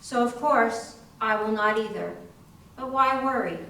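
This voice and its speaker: A woman's voice: fairly high pitch, measured pace, creaky, sharp tone, exaggerated voice. Dying young woman, brave and resigned, played by a woman in stage reading, heard from far off in an echoing room. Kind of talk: readers theater performance. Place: indoor library stage reading. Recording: distant microphone in echoing room.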